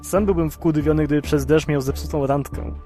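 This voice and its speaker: A man's voice: fairly high pitch, steady tone, normal speed, warm tone, soft background music, lightly acted. A man playing a chatty, prying taxi driver with a hint of menace in an audio drama. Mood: probing.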